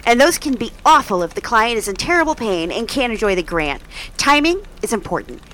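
A brisk, weary charity coordinator, played by a woman in an audio drama, her pitch rising and falling a lot as she explains the job. She sounds businesslike and a touch stern. Faint noise hums behind her. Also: very animated, fairly bright tone. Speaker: department coordinator Jan.

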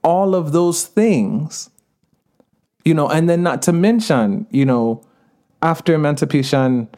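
A man's voice: on the high side, expressive, dark tone. Earnest man with a Jamaican lilt. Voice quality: laughs often while talking, mixes in patois phrases. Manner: earnest, slows down to stress key words. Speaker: podcast guest. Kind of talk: casual podcast conversation.

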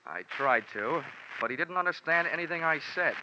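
An actor playing a hard-boiled, wisecracking investigator with an American accent, wry and deadpan in 1940s radio detective style. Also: male voice, fairly high pitch, a little fast, close to an everyday voice. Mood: wry, matter-of-fact. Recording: muffled, hissy 1940s radio recording.

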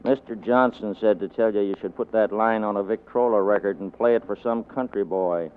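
Guy as polite barkeep, lowish voice, old radio play, muffled narrow sound. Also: steady tone, fairly quick, slight background noise, close to an everyday voice. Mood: deferential. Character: deferential male saloon bartender. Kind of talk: 1940s radio acting.

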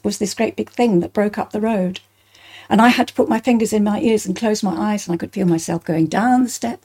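A woman's voice: mid-range voice, dark tone. An older woman with an English accent, recounting personal memories in conversation as an interview guest. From Bristol, England.

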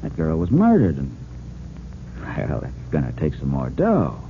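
An actor playing a greedy small-time crook in an old-time radio drama, insinuating and pushy. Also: male voice, rumbling low, wildly swooping pitch, measured pace, exaggerated voice. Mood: greedy, pressing. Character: greedy small-time crook, sly man pressing for money. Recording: muffled narrow-band vintage radio recording, hiss.

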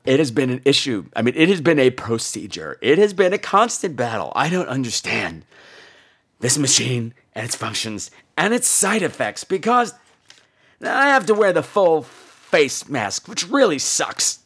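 A man's voice: medium pitch, very animated, moderate pace, fairly bright tone, husky. An American man hosting a podcast, telling comic personal stories in a campy, theatrical and bluntly profane way. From United States. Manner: theatrical, campy, exaggerated delivery. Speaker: podcast host. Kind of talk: comedic personal storytelling monologue.

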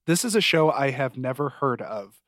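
A man's voice: medium pitch, animated, moderate pace, fairly bright tone, dry recording, clean studio sound. A man speaking as a podcast co-host in a casual conversation about television. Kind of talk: casual TV review podcast chat.

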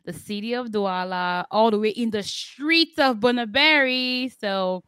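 A woman hosting a podcast, chatting casually and telling stories in an animated way. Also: a little high, unhurried, clear, resonant tone, no echo, clean studio sound. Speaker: podcast host.

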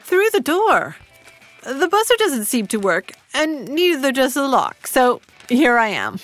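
A proper, courteous mother of middle age in a radio play. Her high voice rises and falls freely, staying crisply formal and quietly determined. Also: sing-song, a little slow, soft background music, heavily acted. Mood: polite, flustered. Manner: polite, prim, crisply formal. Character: polite, proper, determined conservative middle-class English mother. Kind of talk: audio drama acting.

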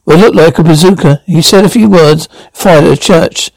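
Gravelly man stumbling through a retelling. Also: on the high side, moderate pace, a little mellow, no echo, low-quality recording. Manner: stumbling, many restarts. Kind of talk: retelling a story with voices.

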